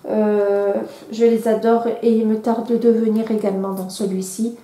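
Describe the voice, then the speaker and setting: A woman's voice: mid-range voice, level tone, normal speed, warm tone. A woman vlogger with a cold, chatting casually and hesitantly with many fillers and restarts. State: has a cold. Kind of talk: casual chat. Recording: echoey room.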